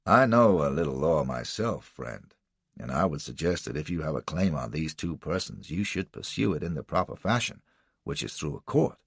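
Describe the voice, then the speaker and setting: A man voicing a calm, measured man with a slow, quiet, steady drawl. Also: a bass voice, vocal fry, slightly rough, dry recording, pitched below the performer's own voice, exaggerated voice. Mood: cool.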